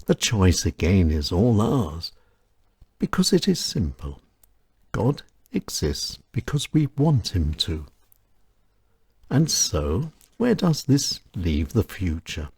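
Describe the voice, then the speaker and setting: A man with a very low, husky voice reads his own reflective essay as the host of his show. His delivery is slow and solemn, with a lot of rise and fall in the melody, and the sound is close and dry. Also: huge pitch swings, darkish tone, no room sound. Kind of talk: scripted reflective narration.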